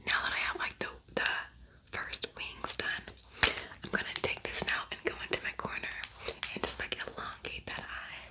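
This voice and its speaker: A woman whispering softly and intimately in a breathy voice, chatting casually through an ASMR makeup tutorial and often repeating words. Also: normal speed.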